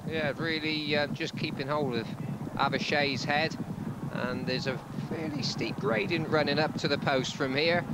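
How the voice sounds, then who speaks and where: A man's voice: a little high, fairly even intonation, moderate pace, heavy background noise. A man giving unscripted racing replay commentary and chat in an understated, hesitant way. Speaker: horse racing presenter and analyst. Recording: busy outdoor racecourse crowd behind.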